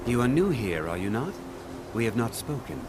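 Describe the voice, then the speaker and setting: An actor playing a stern, dignified archmage in a fantasy video game, speaking in a formal, measured and authoritative way. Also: male voice, medium pitch, very animated, noisy background, close to an everyday voice. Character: stern, dignified male archmage of a magic college. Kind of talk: video game character dialogue.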